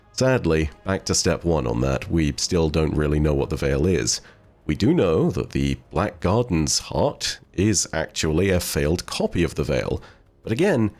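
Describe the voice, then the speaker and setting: A man's voice: sits low, darkish tone. A man giving conversational, questioning commentary in the style of an explanatory video essay. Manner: conversational, speculative, rhetorical questioning. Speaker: host of a game lore channel. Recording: voice over background music.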